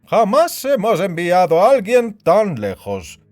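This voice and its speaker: A man's voice: high voice, wildly swooping pitch, takes it slowly, a little mellow, faint music behind, pitched above the performer's own voice, exaggerated voice. A man voicing an overbearing, disapproving institute director in an audio story, lecturing in an emphatic, condescending way. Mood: stern, condescending. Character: overbearing big burly male institute director, perpetually disapproving.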